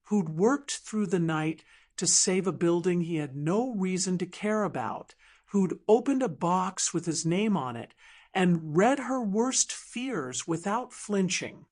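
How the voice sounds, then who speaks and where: A woman reading a dramatic story in a measured, deliberate audiobook narration style. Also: fairly low pitch, lively intonation, darkish tone. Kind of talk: scripted dramatic fiction narration.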